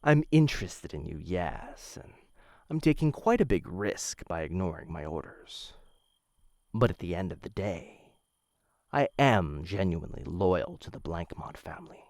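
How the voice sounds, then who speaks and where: A man plays a dangerous noble assassin courting his target in an intimate audio roleplay. The voice sits low and swings widely in pitch, with polished, measured phrasing and quiet menace. It is recorded very dry. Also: big pitch swings, no echo, heavily acted. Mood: smooth, coaxing. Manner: courtly, measured, softly menacing, addresses listener as my dear. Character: smooth, dangerous young noble assassin, possessive suitor. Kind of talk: boyfriend ASMR roleplay, intimate scripted acting.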